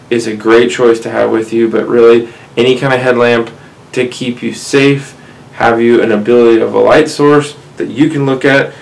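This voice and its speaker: A man's voice: mid-range voice, creaky, rough recording. A man, casually explaining fishing gear to camera from a small room, often asking "right?". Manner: conversational, addresses viewers as guys, frequent "right?". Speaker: outdoors channel host. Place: small indoor room, seated at desk.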